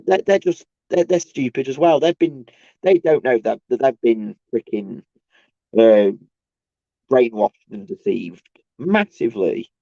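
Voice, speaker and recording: Croaky, gravelly man in a halting ramble. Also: medium pitch, warm tone, dry recording. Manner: halting, repetitive, searching for words. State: sore throat from a cold; tired. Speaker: solo video host. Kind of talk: casual rambling monologue to camera. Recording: dull, lo-fi sound.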